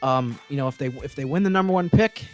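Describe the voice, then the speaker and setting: A man's voice: mid-range voice, quick pace, soft background music. Easygoing man co-hosting a sports podcast. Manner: earnest, easygoing, says "you know" a lot. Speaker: team reporter, podcast co-host. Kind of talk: casual sports podcast chat.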